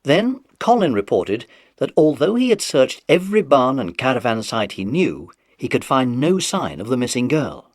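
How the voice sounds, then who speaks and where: A man's voice: mid-range voice, big pitch swings, moderate pace, hardly any pauses, no room sound, clean studio sound. A British man narrating a scripted children's audiobook story.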